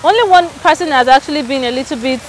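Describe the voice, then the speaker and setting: A woman's voice: sits high, fast, a crowd in the background. A Nigerian woman with a Nigerian accent, chatting candidly and with animation as an interviewee. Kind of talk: casual outdoor interview.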